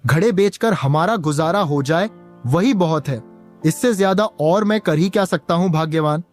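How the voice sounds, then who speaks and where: A man's voice: a little high, normal speed, faint music behind, natural voice. A man voicing a poor, patient village pot seller and father in a cartoon, earnest and plaintive. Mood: resigned, earnest. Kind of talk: Hindi cartoon story dialogue.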